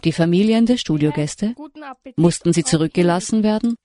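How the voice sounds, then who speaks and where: A woman narrating a radio feature in a reflective, questioning, measured style. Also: fairly low pitch, dark tone. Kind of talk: scripted documentary narration.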